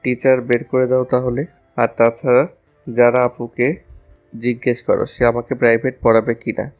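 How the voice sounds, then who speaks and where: A man's voice: mid-range voice, level tone, moderate pace, darkish tone, soft background music, close to an everyday voice. A man playing a lovestruck, casual young college student in a romantic audio story.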